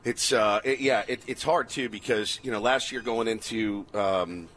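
A man's voice: fairly low pitch, moderate pace, a bit bright, a little hiss or hum. A man hosting a sports radio show with casual, joking banter.

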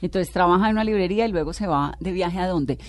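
A mid-pitched interviewer keeps the conversation moving with short questions and quick interjections. The delivery is conversational, with brief affirmations between the guest's answers. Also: no room sound, clean studio sound.

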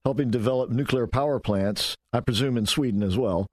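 A man hosting a talk show, questioning a guest in a relaxed, conversational way and sometimes stumbling over his words. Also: medium pitch, brisk. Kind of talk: radio talk show interview.

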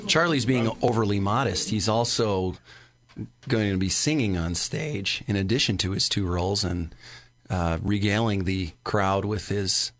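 Male interviewee, thirties, slightly deep voice, halting and conversational. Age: 30s. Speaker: interview guest, amateur stage actor.

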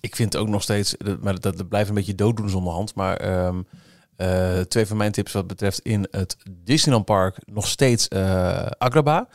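Low-voiced man chatting casually. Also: moderate pace, hardly any pauses, dry recording, studio quality. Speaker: podcast co-host. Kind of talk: casual podcast chat.

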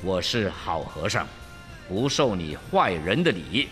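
A man voicing a stern, self-righteous monk master who scolds gravely in a storybook tale. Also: sits low, sing-song, slow, fairly bright tone, big-room sound, soft background music, pitched below the performer's own voice, heavily acted. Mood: angry rejection.

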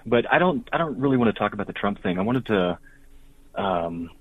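A man's voice: on the low side, lively intonation, brisk, husky. A man calling in to a radio show over a telephone, chatting casually, hesitant and correcting himself. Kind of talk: casual phone-in chat. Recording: tinny narrowband phone line.

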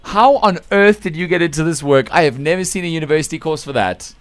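A man's voice: on the high side, fast, clear, resonant tone, dry recording. A South African man hosting a radio show, interviewing in a chatty, informal and enthusiastic way. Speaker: radio breakfast show host. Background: faint background music.

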